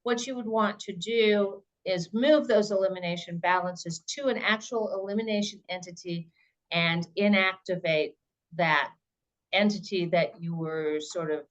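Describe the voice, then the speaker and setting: A woman's voice: lowish voice, lo-fi sound. A woman presenter answering questions in an informal webinar, explaining things step by step and thinking aloud.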